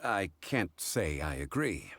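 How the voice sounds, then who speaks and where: An actor playing a calm, refined, erudite gentleman in a fantasy video game, with measured, polite and formal speech. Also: deep voice, soft background music, exaggerated voice. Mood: calm, reasoning.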